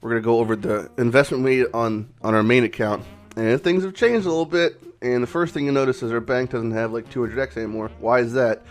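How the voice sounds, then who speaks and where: A man with a raspy voice, hoarse from a cold, hosting a casual game commentary vlog in a rambling, off-the-cuff way with some swearing. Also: medium pitch, fairly even intonation, fast, soft background music. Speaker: gaming channel host.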